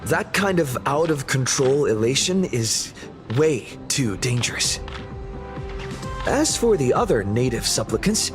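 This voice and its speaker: A man's voice: mid-range voice, sing-song, measured pace. A man playing a modest, easygoing young adventurer in an anime-style game. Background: game music.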